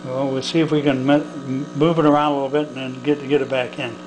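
An older man with an American accent giving a casual hands-on demonstration in a halting, folksy, plain-spoken way. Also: medium pitch, level tone, noisy background. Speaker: hobbyist demonstrator. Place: indoors at a home workbench.

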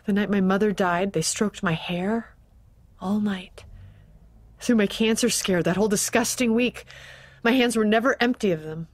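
An actress playing an anxious wife and schoolteacher who frets aloud and repeats herself in an audio drama. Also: female voice, medium pitch, moderate pace, natural voice. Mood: distraught. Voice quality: fretful, rambling, repeats words. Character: anxious married schoolteacher woman, suddenly unsure of her love. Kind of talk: scripted radio drama acting.

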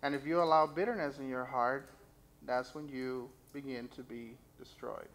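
Gravelly-voiced man preaching at a pulpit. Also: medium pitch, steady tone, moderate pace, fairly bright tone. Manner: earnest personal testimony, reads scripture aloud. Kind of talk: sermon with scripture reading. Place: church sanctuary stage. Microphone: stand mic at pulpit, far camera.

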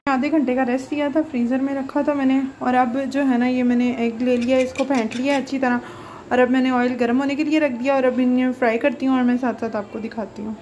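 A woman's voice: high voice, fairly even intonation, fairly quick, a little mellow, slight background noise. A young woman hosting a home cooking channel, explaining a recipe step by step in a chatty, homely way with many fillers. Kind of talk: casual cooking tutorial voice-over. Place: home kitchen.

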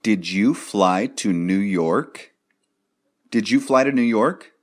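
A man teaching English, reading each drill sentence twice in a deliberate way. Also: on the low side, expressive. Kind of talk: slow, repeated drill sentences for learners.

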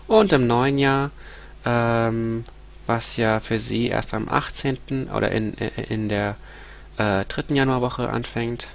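Congested male lecturer, 30s, hesitant and halting, gravelly texture, desk mic. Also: mid-range voice, steady tone. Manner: hesitant, many ums and restarts. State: stuffy nose from a cold. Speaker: university lecturer. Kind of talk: recorded lecture with slides. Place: small room at home.

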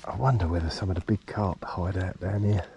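A man's voice: low-pitched, fairly even intonation, a little fast, dull audio, background noise. A middle-aged man with a bit of a cold, chatting casually and muttering to himself as he fishes outdoors. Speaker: angler filming a fishing vlog. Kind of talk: casual chat while fishing. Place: outdoors on a riverbank. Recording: outdoor action camera with background ambience.

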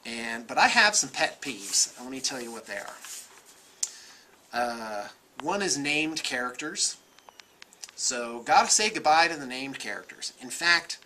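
An American man in his forties giving casual, off-the-cuff opinions in an animated, conversational way. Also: mid-range voice, slight background noise. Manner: animated, gesturing, conversational, self-qualifying. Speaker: vlogger, miniatures shop owner. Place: indoor room with bookshelves.

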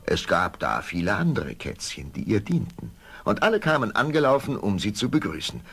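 A man narrating a scripted fairy tale for an audio play in a gravelly voice. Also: lowish voice, huge pitch swings, normal speed, fairly bright tone.

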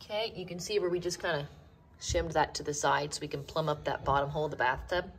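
A woman's voice: low-pitched, fast talker, slight background noise, low-quality recording. A woman casually narrating a home renovation project in an unfinished basement, upbeat and teasing. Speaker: co-host of a home renovation channel.

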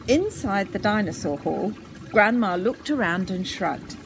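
Woman, sixties, children's storybook reader, animated pitch, outdoors, faint background noise. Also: unhurried. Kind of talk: children's story read aloud. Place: outdoors in a garden.

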